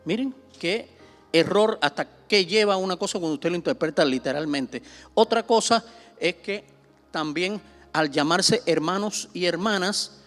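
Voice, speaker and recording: A man's voice: high-pitched, a little slow, a bit bright, soft background music. A middle-aged Cuban man, a pastor teaching a Bible seminar in a conversational way, with many fillers, repeating himself and pushing up sharply when he stresses a point. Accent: Cuban Spanish. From Cuba.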